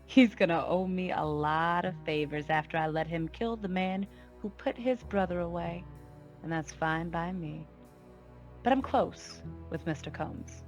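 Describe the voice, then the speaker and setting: A cold female mob boss from a noir radio drama, played by an actress. She speaks low, with deliberate, imperious scorn and open threat. Faint music plays behind her. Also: lightly acted. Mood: scornful taunting. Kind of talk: 1940s radio noir acting.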